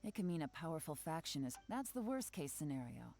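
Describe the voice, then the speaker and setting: A woman's voice: low voice, big pitch swings, fairly quick, creaky, warm tone, faint music behind, exaggerated voice. An actress playing a poised, shrewd woman investigator in a video game, courteous and analytical in manner. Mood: shrewd, serious. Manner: composed, theatrically courteous to children, analytical.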